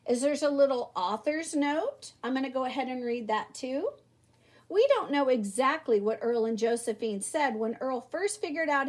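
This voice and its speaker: Woman, high voice, very lively intonation, expressive children's read-aloud, emphatic, talks directly to young listeners. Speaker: storybook reader.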